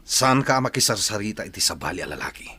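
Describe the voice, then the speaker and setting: Domineering, husky low-voiced husband acted by a man. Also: lively intonation, fast, bright, forceful tone, exaggerated voice. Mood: stern, angry. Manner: bossy, scolding, demanding. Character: domineering, jealous husband and businessman, harsh household master. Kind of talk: Ilocano comedy-drama radio acting.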